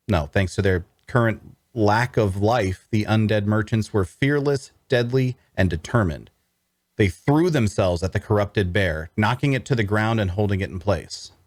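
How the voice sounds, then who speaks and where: A man reading first-person fantasy narration in a measured, deliberate, dramatic storytelling style. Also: fairly low pitch, dry recording, clean studio sound.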